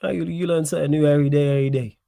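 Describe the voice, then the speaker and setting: Young Manc streamer, slightly high voice, indignant and repetitive, dry close sound. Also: male voice, steady tone, very fast, vocal fry, mellow, no room sound. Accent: Manchester. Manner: indignant, repeats phrases, reads chat aloud. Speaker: livestream host. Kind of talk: live stream chat reaction.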